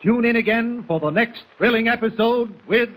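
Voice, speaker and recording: A man announcing a 1940s radio serial in an excited, declamatory manner, on a noisy, hissy old recording. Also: extremely high pitch, normal speed.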